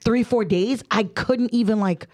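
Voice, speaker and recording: A woman's voice: on the high side, unhurried, darkish tone. A woman from Trinidad co-hosting a podcast, telling stories in a chatty, laughing way with lots of "like". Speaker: podcast co-host. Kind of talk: casual chat.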